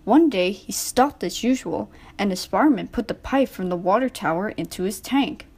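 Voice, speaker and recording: A woman's voice: medium pitch, animated, normal speed, a little mellow, breathy edge. A woman reading a scripted children's story as narrator.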